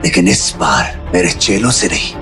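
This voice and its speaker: A man's voice: deep voice, lively intonation, music in the background. A man playing an arrogant, feared grand master villain in an audio drama, threatening and gloating as he talks. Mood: gloating threat. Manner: gloating, threatening, drawn-out delivery. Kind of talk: Hindi audio drama acting.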